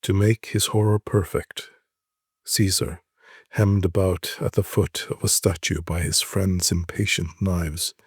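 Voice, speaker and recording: Male narrator, low and very husky, solemn and deliberate literary storytelling, dry close sound. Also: little pitch movement, no echo. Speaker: narrator reading short stories. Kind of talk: dramatic literary reading.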